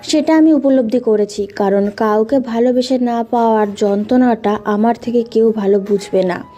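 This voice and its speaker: Mellow, high-voiced young woman acted. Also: moderate pace, natural voice. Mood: earnest, pleading. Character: earnest young woman, loyal sister. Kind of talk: audio story drama acting. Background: background music.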